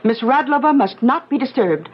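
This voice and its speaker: High-voiced, earnest, deferential maid. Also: female voice, expressive, normal speed, husky. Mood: concerned, firm. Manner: earnest, deferential, anxious to reassure. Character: attentive, soothing, quietly strong hotel maid. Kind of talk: 1970s radio drama acting. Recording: dull, hissy 1970s radio broadcast.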